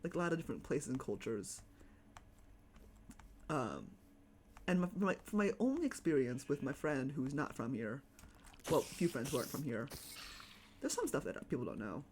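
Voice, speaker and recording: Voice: medium pitch, big pitch swings, normal speed, long pauses, a little hiss or hum. A streamer with a Chicago accent, chatting casually and rambling while playing a game at home. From United States. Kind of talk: casual live-stream chat while gaming.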